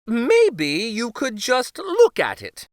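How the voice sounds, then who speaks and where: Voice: mid-range voice, huge pitch swings, slow pace, no echo, clean studio sound, pitched above the performer's own voice. A man voicing a fussy, reluctant storybook king with whiny, exclamatory protests. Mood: nervous. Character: fussy, reluctant king afraid of splinter removal.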